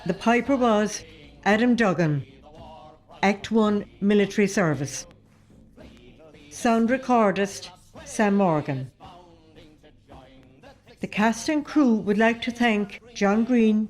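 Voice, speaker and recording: Slow-paced woman announcer. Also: mid-range voice, a little mellow. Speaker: credits announcer. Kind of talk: scripted credits reading. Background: faint music.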